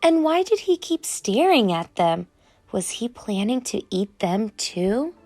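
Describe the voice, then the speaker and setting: A woman reading a children's picture book aloud as an expressive storyteller. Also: a little high, unhurried. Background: music.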